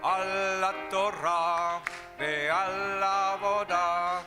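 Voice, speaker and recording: A cantor chanting prayers slowly in a synagogue service. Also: mid-range voice, little pitch movement, over music. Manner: slow, drawn-out sung prayer. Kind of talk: liturgical chanting. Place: synagogue sanctuary.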